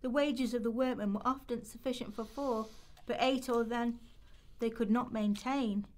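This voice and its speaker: A woman with a fairly high voice performs scripted readings for a radio play. She delivers historical quotations as dramatic monologue. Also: steady tone, normal speed, darkish tone.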